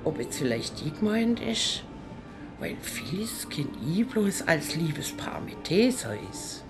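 A woman's voice: on the low side, sing-song, slow, over music. An actress playing a chatty, curious, teasing provincial woman with a Swabian accent in a radio drama. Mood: puzzled, pondering.

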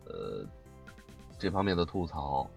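A man's voice: very deep voice, big pitch swings, measured pace, creaky, soft background music. A man speaking as a voice actor guest in a casual interview, emphatically repeating his points.

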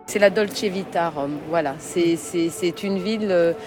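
A woman's voice: fairly low pitch, unhurried, darkish tone. A woman speaking effusively and casually as an interviewed tourist, piling up short repeated phrases. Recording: field interview clip under music.

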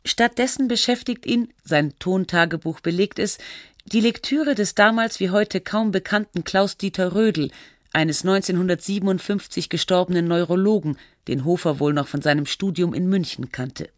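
A woman narrating a radio feature in a measured, factual and articulate scripted style. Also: lowish voice, no room sound, clean studio sound, natural voice.

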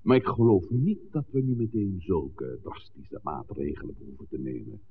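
Male, genteel scheming priest, lowish voice with wide swings, old thin-band radio drama. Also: sing-song. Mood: resolute. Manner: measured, formal, genteel speech. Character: calm, scheming village priest, wily and courteous. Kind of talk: 1960s Dutch radio play acting. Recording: muffled, faintly noisy 1960s radio recording.

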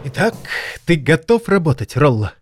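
An actor playing an excitable, sentimental elderly maestro pianist in an audio drama, theatrical and halting. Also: male voice, on the high side, soft background music. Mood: exalted, excited.

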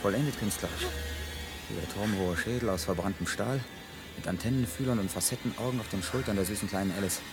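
A man's voice: fairly low pitch, a little fast, over music, close to an everyday voice. An actor playing a tense, commanding and bitterly sarcastic man facing deadly danger in a science-fiction radio drama. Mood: bitterly sardonic.

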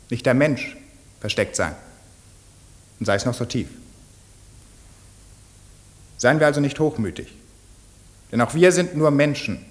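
An actor playing an earnest, solemn defence lawyer in a radio play, speaking in measured rhetorical phrases with emphatic pauses. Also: male voice, a little high, lightly acted. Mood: pleading, earnest. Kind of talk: German radio play courtroom speech.

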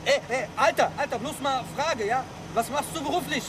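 An actor playing a pushy, slangy driver with a nagging, excitable manner in a radio crime drama. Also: male voice, very high-pitched, moderate pace, noisy background. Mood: pushy, eager.

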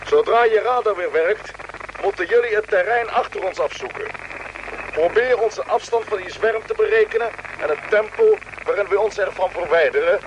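An actor as a high-voiced, commanding space captain. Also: male voice, moderate pace, dull audio, natural voice. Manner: authoritative, clipped orders. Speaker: radio drama actor. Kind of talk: radio play acting. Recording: noisy old radio drama recording.

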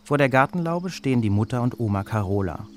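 A man reading a children's story as its narrator, with an expressive storytelling delivery. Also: mid-range voice, fast, slight background noise.

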